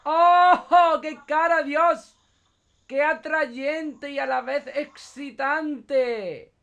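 A man putting on a flirty, over-excited comic character, moaning and exclaiming suggestively in an online video chat prank. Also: extremely high pitch, drawn-out words, creaky, lo-fi sound, slight background noise, full character voice. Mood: gushing, flirty. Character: flirty, over-excited blocky cardboard-headed character, campy and lustful. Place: indoor room at a computer webcam.

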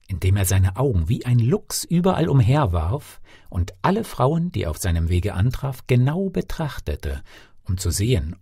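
Husky, animated male fairy-tale narrator. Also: mid-range voice, dry recording, clean studio sound. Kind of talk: expressive scripted audiobook storytelling.

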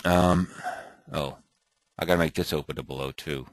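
A man thinking aloud and muttering to himself as he clicks through an off-the-cuff software tutorial at his computer. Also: rumbling low, fairly even intonation, moderate pace, no room sound. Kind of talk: off-the-cuff screen recording tutorial.

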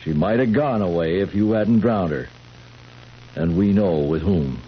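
An actor playing a skeptical, accusing sheriff in a 1970s radio drama, pointed and deliberate with hesitant pauses. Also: male voice, low voice, animated. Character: skeptical, accusing male sheriff questioning a suspect. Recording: muffled, faintly noisy vintage radio recording.

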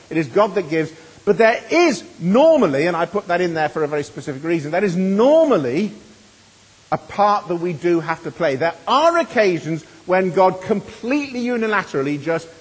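Middle-aged male preacher, high husky voice, earnest, with a long church reverb. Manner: earnest, emphatic, swings between loud and hushed. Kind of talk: sermon. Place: church hall.

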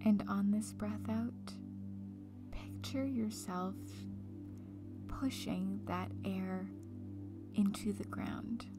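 A woman speaks very softly and breathily, often close to a whisper, as she guides a meditation. Her pace is slow and calm, with long gentle pauses, and clear music plays behind her. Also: medium pitch. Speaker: meditation guide, breakup coach.

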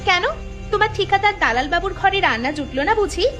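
A woman voicing a sassy, sharp-tongued young village vegetable seller in a cartoon, taunting and combative. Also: extremely high pitch, expressive, quick pace, faint music behind. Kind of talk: cartoon character acting.

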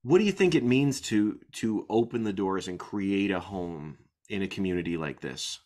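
A middle-aged man interviewing a guest over a video call, asking soft-spoken, halting questions. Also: lowish voice, lively intonation.

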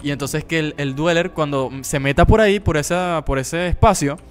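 Higher-voiced, excitable young man gaming. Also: normal speed, clean tone, soft background music. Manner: excitable, playful, teasing. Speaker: gaming video host, player. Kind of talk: casual gameplay chat with friends.

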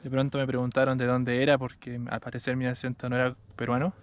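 A man from Chile narrating his mountain bike vlog and chatting with riders, in a calm, reflective tone. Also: medium pitch, quick pace, muffled recording. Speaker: vlogger. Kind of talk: casual vlog narration and interviews. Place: outdoors at a mountain bike race.

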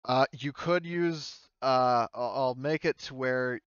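A man's voice: mid-range voice, slow pace, clean tone, no room sound, highs cut off. A man running a tabletop game as game master, casually thinking aloud and sometimes swearing.

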